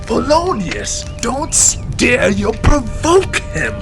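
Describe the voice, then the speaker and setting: Fantasy drama sidekick soldier, female actor, high and very slow, nervously cautioning. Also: sing-song, full character voice. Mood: anxious warning. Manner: slow, emphatic warning. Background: background music.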